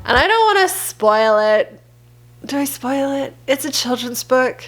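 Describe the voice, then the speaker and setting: A woman's voice: high voice, sing-song, a little slow, fairly bright tone, soft background music. A woman chatting casually and expressively, recommending picture books like an enthusiastic preschool teacher.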